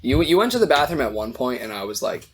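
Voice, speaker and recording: A young man co-hosting a casual podcast chat, a little hoarse from losing his voice, telling animated stories and swearing freely. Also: mid-range voice, quick pace, slight background noise. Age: young adult. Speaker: podcast co-host.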